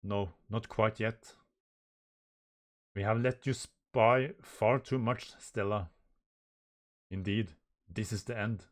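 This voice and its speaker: A man's voice: lowish voice, steady tone, slow, long pauses. A man voicing an imperious elder villain from a manga, formal, menacing and commanding.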